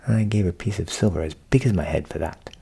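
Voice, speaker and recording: Man doing naive, husky storybook young fellow. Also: deep voice, fairly quick, dark tone, pitched below the performer's own voice, heavily acted. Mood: self-satisfied.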